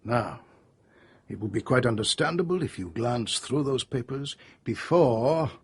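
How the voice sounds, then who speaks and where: A probing detective, slightly husky. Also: male voice, mid-range voice, lively intonation. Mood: insinuating. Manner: polite, measured, insinuating questioning. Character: courteous but probing French police detective. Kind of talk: radio drama acting.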